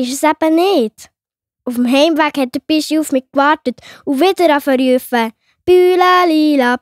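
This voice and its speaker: A woman's voice: sits high, no echo, studio quality, lightly acted. A woman playing a sensitive young schoolgirl in a children's audio drama, childlike, with a Swiss German accent. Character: sensitive young schoolgirl, easily hurt, trusting daughter.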